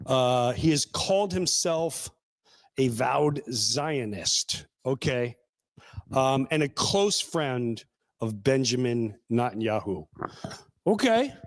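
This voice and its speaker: A man hosting a casual talk show, sarcastic and animated, now and then slipping into mocking voices. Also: mid-range voice, slow pace. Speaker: podcast host.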